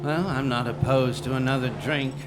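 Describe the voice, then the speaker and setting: A man playing a haughty, evasive gentleman archaeologist in a macabre audio drama, with an arch, sardonic, pompous delivery. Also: medium pitch, fairly even intonation, normal speed, over music, close to an everyday voice, low-quality recording. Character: haughty, evasive gentleman archaeologist, scornful male rival named Stanley.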